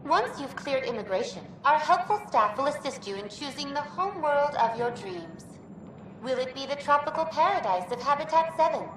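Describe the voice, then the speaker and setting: A woman's voice: high voice, a bit bright. A woman voicing a cheerful, helpful virtual intelligence in a game, giving polished, formal, scripted welcome announcements. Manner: polished, upbeat, formal customer-service delivery. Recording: echoey distant sound with music behind.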